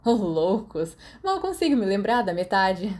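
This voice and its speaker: A woman with a Brazilian accent voicing a loud, flippant teenage schoolboy in an audiobook, feigning carelessness. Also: medium pitch, very animated, a little slow. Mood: falsely breezy.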